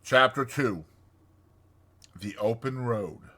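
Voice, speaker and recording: A man reading a classic storybook as an audiobook narrator, in an expressive scripted style. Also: mid-range voice, slow.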